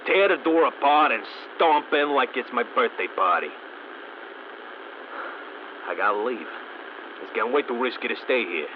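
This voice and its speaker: A man's voice: mid-range voice, close to an everyday voice. Male actor as a weary, resourceful stranded mechanic, wry game audio log; muffled, static-laced recording. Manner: wry, dry humor.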